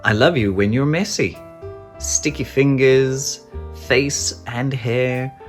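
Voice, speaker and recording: A narrator reading a picture book aloud to young children in a gentle, affectionate way with drawn-out phrasing. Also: medium pitch. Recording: music behind the voice. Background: music.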